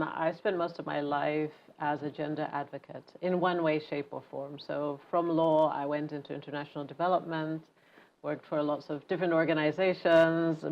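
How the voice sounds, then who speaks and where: A woman's voice: deep voice, highs cut off. A woman in her fifties from Zambia, a novelist and guest in an interview, telling her story fluently and laughing easily. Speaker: author guest. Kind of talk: relaxed conversational interview.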